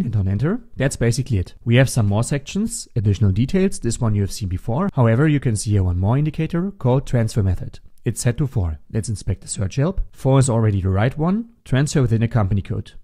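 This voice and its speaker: A man narrating a step-by-step software tutorial as an instructor, in a methodical, instructional manner. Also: medium pitch. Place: voice-over for a screen recording.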